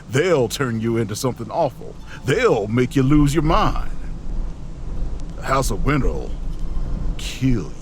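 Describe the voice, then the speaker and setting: A man playing a grave, watchful lone traveler, narrating an audio drama in deliberate, ominous, terse phrases. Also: lowish voice, noisy background.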